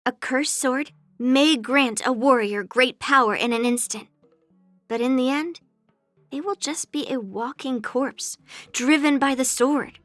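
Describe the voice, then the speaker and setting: A young game swordswoman with a high, girlish voice. She is brash and earnest, stating things bluntly and matter-of-factly. The sound is dry and studio-close, with light music underneath. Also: measured pace, fairly bright tone, no echo. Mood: earnest, instructive. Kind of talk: scripted game character voice lines.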